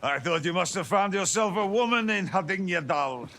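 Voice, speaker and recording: A man playing a boisterous, commanding Norse warrior with rough humour in a video game cutscene. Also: sits high, expressive, normal speed, fairly bright tone. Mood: hearty teasing.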